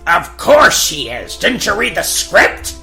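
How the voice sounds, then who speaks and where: A man voicing a sardonic, excitable squire with a theatrical, exasperated manner in a cartoon parody. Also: high voice, takes it slowly, creaky, soft background music, full character voice. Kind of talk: cartoon gag-dub parody.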